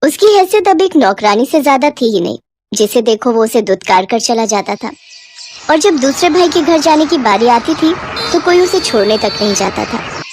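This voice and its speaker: A woman's voice: sits high, big pitch swings, normal speed, a little mellow, a little hiss or hum. A woman reading a scripted moral story as its narrator.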